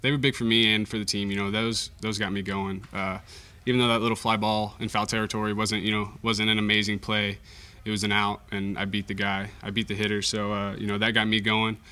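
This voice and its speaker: Flat-toned young man, low bright voice. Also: a little fast, hardly any pauses, clear, resonant tone. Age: young adult. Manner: modest, understated. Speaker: college baseball pitcher. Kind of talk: post-game press conference answers. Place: indoor press conference room. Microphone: table microphone.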